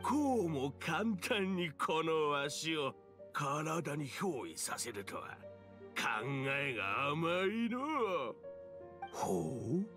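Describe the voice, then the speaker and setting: A man's voice: fairly high pitch, big pitch swings, drawn-out words, a bit bright, faint music behind, heavily acted. A man playing an ancient, mischievous male fox spirit in a video game, with old-fashioned, theatrical elderly speech. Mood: gleefully villainous. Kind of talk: Japanese video game acting.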